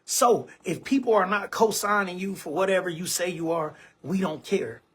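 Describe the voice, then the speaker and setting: A man in his forties speaks casually and emphatically to camera, circling back to the same points and leaning on bro and man. His voice sits high for a man and has a husky, breathy edge. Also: moderate pace, fairly bright tone. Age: 40s. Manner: emphatic, repetitive, keeps saying bro and man. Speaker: online show host.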